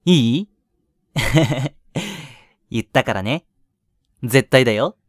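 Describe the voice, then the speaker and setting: A male voice actor plays a young boyfriend, tender, longing and clingy, in an intimate roleplay drama. He speaks unhurriedly at a middling male pitch, softly and with breathy little chuckles. The sound is dry and close, as if meant for one listener. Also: expressive, drawn-out words, no echo. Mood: playful, excited.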